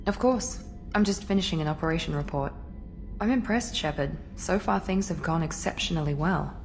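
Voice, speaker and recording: An actress playing a composed female operative in a video game, with a crisp, controlled delivery. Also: deep voice, moderate pace, slight background noise. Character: cool, composed female operative, loyal and precise.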